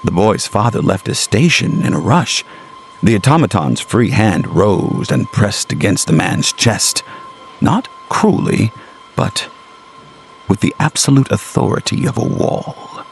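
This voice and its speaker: Low, gravelly male storyteller. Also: very animated, a little hiss or hum. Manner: grave, deliberate. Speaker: narrator. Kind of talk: scripted gothic story narration.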